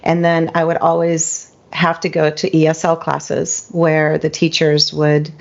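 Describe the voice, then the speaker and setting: A middle-aged woman from Spain, French her first language, speaks in a low, unhurried voice. She is a singer-songwriter guest who reflects calmly with plenty of ums and you-knows. The sound is dull and lo-fi. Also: gravelly. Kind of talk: casual interview chat. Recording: dull lo-fi recording.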